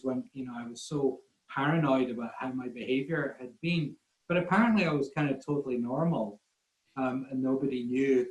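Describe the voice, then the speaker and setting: A man's voice: medium pitch, darkish tone. A man from Scotland with a Scottish accent, a guest photographer telling rambling, self-deprecating stories in a casual online talk. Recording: roomy echo.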